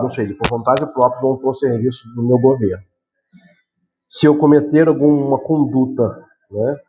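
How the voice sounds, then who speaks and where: A Brazilian man gives a conversational law lesson, checking in with his listeners through frequent tag questions and correcting himself as he goes. His voice is warm and mellow, sitting in an ordinary middle pitch for a man. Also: rough recording. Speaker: law teacher. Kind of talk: explanatory lecture.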